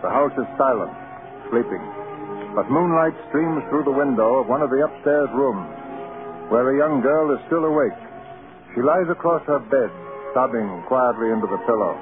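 A man's voice: medium pitch, animated, normal speed. A man delivering scripted narration in an old-time radio drama. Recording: tinny old radio broadcast, music behind. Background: music.

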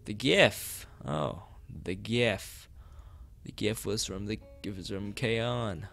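A man's voice: lowish voice, takes it slowly, gravelly, fairly bright tone. A man live streaming a visual novel, reading its text aloud and drifting into rambling asides to the chat. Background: background game music.

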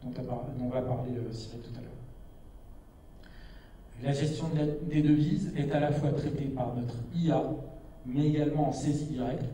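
A man in his fifties giving a product keynote on stage with a handheld mic, brisk with frequent hesitations. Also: medium pitch, steady tone, dark tone, recorded from a distance, a little hiss or hum. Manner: brisk, with many "euh" hesitations. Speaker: keynote presenter. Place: large dark stage with a big screen. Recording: echoey hall, voice from afar.

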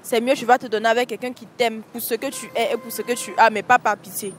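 A woman's voice: on the high side, steady tone, fast talker, a little hiss or hum. Young woman, casual street answer. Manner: casual, repeats points with "en fait". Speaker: street interviewee. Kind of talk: vox pop answer. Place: city street at night beside traffic. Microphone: handheld mic held by interviewer.

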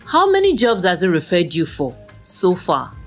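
An actress playing a teasing, chatty young best friend in an audio drama, with a Nigerian accent and a playful manner. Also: female voice, lowish voice, huge pitch swings, moderate pace, faint music behind. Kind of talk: casual audio drama dialogue.

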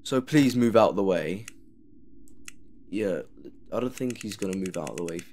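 A man's voice: fairly low pitch, expressive, normal speed, a little mellow. A man commenting sarcastically while he plays a horror game. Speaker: gamer playing a horror game. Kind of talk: let's play commentary.

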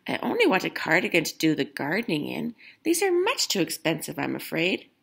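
A woman's voice: deep voice, huge pitch swings, a little fast, creaky, fairly bright tone, slightly rough, exaggerated voice. A woman voicing a fussy, polite old grandpa from a picture book, plaintive and politely insistent. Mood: plaintive, complaining.

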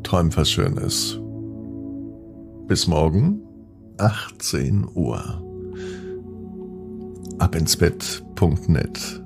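A man narrating a bedtime story for young children in an expressive, gently drawn-out storytelling manner. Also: deep voice, gravelly, music in the background.

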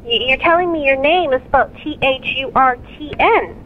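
A woman's voice: high-pitched, normal speed. A woman telemarketer on a sales call, questioning insistently with an exasperated manner over a phone line. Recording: tinny phone line, faint noise.

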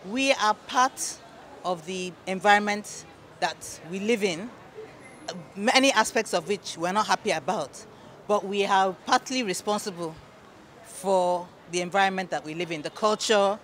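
A middle-aged woman answering an interview in a measured, emphatic way. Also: medium pitch, a bit bright. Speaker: interviewed theatre guest. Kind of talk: on-camera vox-pop interview. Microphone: handheld reporter microphone. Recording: faint event background noise.

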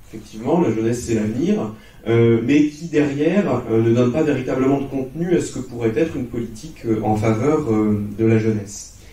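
A man's voice: mid-range voice, dark tone. A man in his thirties giving a public lecture as a researcher, speaking into a microphone in a hall. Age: 30s. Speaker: lecturer, social science researcher. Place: conference hall, on stage. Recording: roomy, slightly distant hall sound.

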